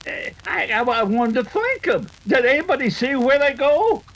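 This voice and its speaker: An actor playing an excitable old American rancher in a radio western, with a halting, repetitive rustic drawl. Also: male voice, very high-pitched, a little hiss or hum, full character voice. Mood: pleased, wondering. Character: folksy old frontier rancher father, excitable and warm-hearted.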